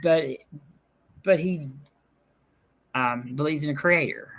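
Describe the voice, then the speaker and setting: A man's voice: on the high side, slow pace, a bit bright. A man hosting a casual debate, hesitant and filler-heavy as he reasons aloud. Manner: hesitant, many fillers and restarts. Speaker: host.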